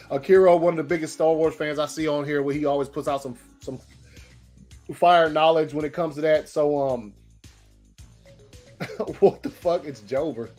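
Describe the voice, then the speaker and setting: A man's voice: a little high, a little slow, long pauses, darkish tone. A man hosting a daily online show in casual livestream chat, loose and slangy, laughing often, his throat a little scratchy. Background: faint music.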